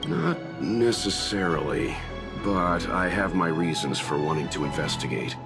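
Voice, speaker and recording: A reserved, low-voiced young investigator. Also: male voice, expressive, unhurried, creaky, heavily acted. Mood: measured. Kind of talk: game voice acting. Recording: game cutscene with music behind.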